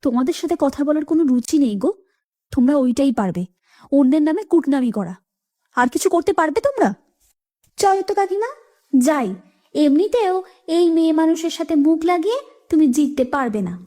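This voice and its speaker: A woman voicing a sharp-tongued, quarrelsome young village woman in a melodramatic cartoon, with drawn-out, indignant phrasing. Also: high voice, lively intonation. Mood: indignant, taunting.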